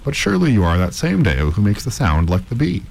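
A man's voice: fairly low pitch, very animated, brisk, creaky, slight background noise, pitched above the performer's own voice. A man voicing a courtly, condescending visiting professor in a story, with formal, florid, patronising politeness. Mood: teasing politeness. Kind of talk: acted dialogue in a storybook reading.

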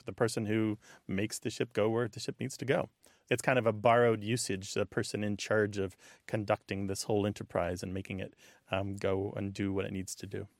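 A man's voice: medium pitch, dry recording, studio quality. A man co-hosting a call-in show, casually explaining things in a conversational way. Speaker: radio show co-host.

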